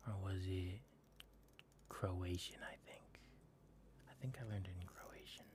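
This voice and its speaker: A man whispering softly as he chats with viewers on a late-night ASMR stream. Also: sits low, steady tone, heavy background noise. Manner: soft whisper, slow and drawn out. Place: home at night.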